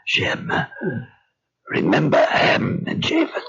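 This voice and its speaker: An actor playing a dying ancient male patriarch who speaks slowly and pleads with his son, in an old-time radio drama. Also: fairly bright tone. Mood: solemn farewell. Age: older. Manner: slow, trembling, pleading. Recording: muffled lo-fi old recording.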